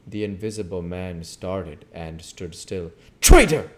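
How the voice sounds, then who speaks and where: A man's voice: low-pitched, fairly even intonation, unhurried, a little mellow, a little hiss or hum. A man reading a storybook aloud as narrator. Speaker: audiobook reader.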